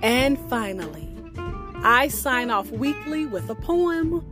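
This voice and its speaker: A woman hosting a radio show, speaking in a deliberate, emphatic way with dramatic pauses, as in scripted spoken word. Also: fairly high pitch, very animated, over music.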